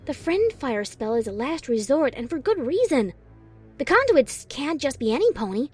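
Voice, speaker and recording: A woman's voice: high-pitched, sing-song, moderate pace, faint music behind. A woman voicing a stern, proud young unicorn mare sorceress in a fan audio drama, clipped and commanding. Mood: worried.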